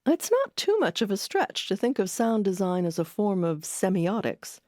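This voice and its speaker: A woman's voice: medium pitch, sing-song, moderate pace, a little mellow, dry recording, studio quality. A woman reading scripted narration as the host of a documentary podcast.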